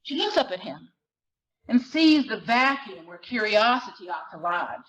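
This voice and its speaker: Slow, expressive woman giving a dramatic reading. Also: mid-range voice, rough recording. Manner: measured, deliberate, expressive phrasing with weighted pauses. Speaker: dramatic reader at a library performance. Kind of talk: dramatic literary reading of a novel. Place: live reading at a library event.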